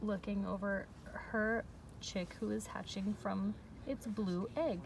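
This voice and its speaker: Slow-paced woman reading aloud to children. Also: medium pitch, steady tone, vocal fry. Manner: explanatory, measured, pausing between phrases. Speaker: teacher reading a picture book aloud. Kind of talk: read-aloud lesson for young children. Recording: distant mic with faint background noise.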